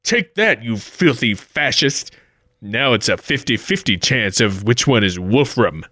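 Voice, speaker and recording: Man playing a bright, animated adventure hero. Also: mid-range voice, unhurried, no echo, clean studio sound. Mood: cocky. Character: brash, dim, melodramatic American adventurer hero. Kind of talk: parody 1940s radio serial acting.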